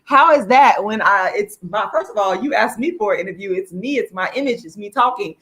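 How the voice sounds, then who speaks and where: A woman hosting a casual livestream, speaking to viewers in an informal, outspoken, emphatic way with a slightly hoarse voice. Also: medium pitch, animated, brisk, fairly bright tone, poor audio. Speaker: livestream host, activist.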